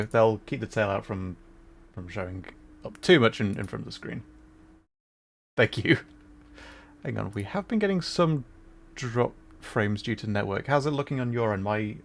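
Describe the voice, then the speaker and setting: A man's voice: fairly low pitch, gravelly. A man casually chatting on a gameplay livestream, laughing often and explaining game trivia. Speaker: streamer.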